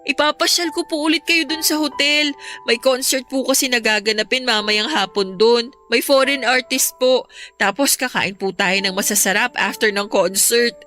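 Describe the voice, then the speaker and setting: A woman's voice: fairly high pitch, moderate pace, bright, forceful tone. A woman playing a polite, apologetic, earnest young adult child in a Filipino audio drama. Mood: cheerful. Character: grateful, apologetic young adult child reuniting with adoptive mother. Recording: music under the voice.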